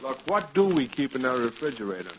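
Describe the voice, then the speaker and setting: An actor playing a blustering, boastful middle-aged professor and husband in a vintage radio sitcom, bumbling and defensive. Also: male voice, mid-range voice, big pitch swings, fast, close to an everyday voice. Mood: flustered. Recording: muffled old radio recording, heavy noise.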